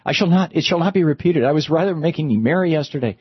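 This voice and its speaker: A man's voice: on the high side, animated, fast, slightly rough, no echo, muffled recording, voice pushed up. A man voicing a timid male clerk who sounds meek and apologetic while reading a storybook aloud. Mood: pleading. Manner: meek, pleading.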